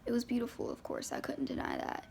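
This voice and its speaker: Very creaky woman reading a novel aloud. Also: fairly high pitch, wildly swooping pitch, fast, darkish tone, slight background noise. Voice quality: creaky voice with vocal fry. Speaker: amateur audiobook reader. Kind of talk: scripted first-person novel narration, read aloud.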